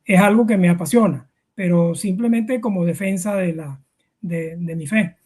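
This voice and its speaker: A man's voice: high voice, normal speed, darkish tone, slightly rough. A middle-aged man with a Venezuelan accent, explaining things informally as an interview guest, hesitant and full of fillers and repeated words.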